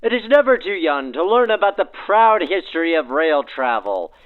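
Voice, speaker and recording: A man with a high, swooping voice delivers formal scripted narration like a 1940s radio announcer. The sound is narrowed by a vintage radio filter. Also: big pitch swings, moderate pace. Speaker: narrator and host. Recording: band-limited 1940s-style radio sound.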